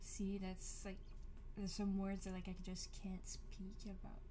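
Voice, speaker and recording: Woman, early 20s, mid-pitched, rambling solo vlog, mumbly and halting delivery. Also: completely flat delivery, dark tone, a little hiss or hum. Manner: halting, mumbles and chews her words. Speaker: vlogger. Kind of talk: unscripted casual monologue to camera.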